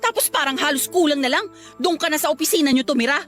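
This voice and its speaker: A woman's voice: high voice, animated, fast, a bit bright, faint music behind. A woman with a Filipino accent playing a fed-up wife and caring mother in a radio drama. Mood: sarcastic, reproachful.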